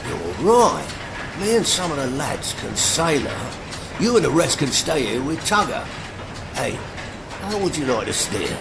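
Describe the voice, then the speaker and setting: A man in an audio play acting as a brisk, practical tugboat skipper, an old hand who talks bluntly. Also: medium pitch, sing-song, gravelly, background noise. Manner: blunt, colloquial.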